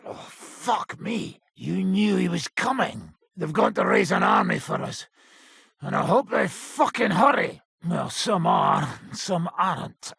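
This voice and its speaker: A battle-worn old outlaw baron for a video game, with a heavily rasping, crackling voice that sits fairly high for a man. He is blunt, coarse and sardonic, and his pitch swings widely as he talks. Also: animated, slow pace, a bit bright, dry recording, clean studio sound, full character voice. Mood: weary, bitter. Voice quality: gravelly, very raspy. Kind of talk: video game character acting.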